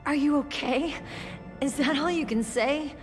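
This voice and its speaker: A woman playing a wounded young soldier in a video game, tough yet tender, speaking haltingly and emotionally. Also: sits high. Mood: bruised defiance. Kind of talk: dramatic video game cutscene acting. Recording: music behind the voice.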